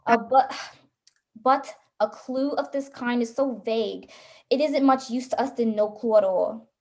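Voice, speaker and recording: Brusque, weary police officer, played by a woman, highish voice. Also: a little slow, darkish tone. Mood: dismissive, placating. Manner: patronizing, placating. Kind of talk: suspense radio play acting.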